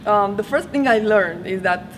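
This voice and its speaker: A woman's voice: medium pitch, moderate pace, background noise. A young woman from Romania, a student, answering interview questions hesitantly with many fillers. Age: young adult. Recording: music playing underneath.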